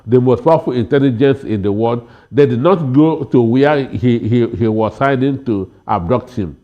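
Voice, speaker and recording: Dull-sounding, halting man commentating. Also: medium pitch. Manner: halting, repeats words emphatically. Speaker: panel guest, commentator. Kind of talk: political panel commentary. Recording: dull, lo-fi broadcast audio.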